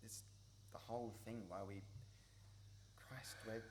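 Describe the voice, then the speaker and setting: A man acting an awkward, caring young Australian friend in a radio play, exasperated but loyal, speaking in a halting way. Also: lowish voice, steady tone, natural voice. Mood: confessional. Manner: halting, self-correcting. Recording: noisy live radio play recording.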